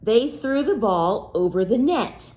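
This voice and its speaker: A woman's voice: on the high side, lively intonation, unhurried, a little mellow. A woman reading a picture book aloud to children expressively, with simple questions and asides to young listeners.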